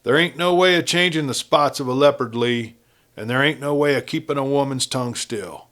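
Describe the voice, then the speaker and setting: A man's voice: mid-range voice, a little fast, fairly bright tone, voice pushed up, natural voice. Guy reading as a ruthless outlaw leader, western story, folksy drawl, blunt talker. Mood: scheming, insistent. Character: ruthless outlaw gang leader in the old West, male.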